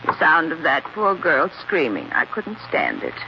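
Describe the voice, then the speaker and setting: A woman's voice: low voice, huge pitch swings, a little slow, exaggerated voice. An actress playing a genteel, sinister married woman with a prim, affected manner in an old radio drama. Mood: prim, fretful. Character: genteel, sinister married woman feigning delicate sensibility and grief. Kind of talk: 1940s radio acting. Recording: noisy, muffled 1940s radio recording.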